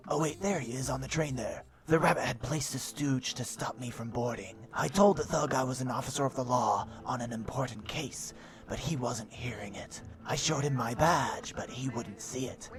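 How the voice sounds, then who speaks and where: A man voicing a self-important, dim hardboiled private eye, narrating a deadpan film noir parody in a melodramatic way. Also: medium pitch, moderate pace, close to an everyday voice. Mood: dramatic. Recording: music behind the voice. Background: background music.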